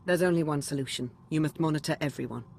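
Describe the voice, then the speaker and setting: An actress playing a grave, calculating adviser in a video game, formal and deliberate. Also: female voice, low voice, vocal fry, darkish tone, slight background noise. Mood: calculating, resolute. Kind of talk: cinematic video game voice acting.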